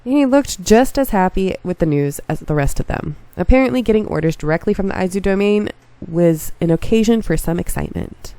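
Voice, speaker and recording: A congested woman reading a visual novel aloud at home, casual and quick to laugh at her slips. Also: mid-range voice, lively intonation, dark tone, dry recording. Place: home room with a fan.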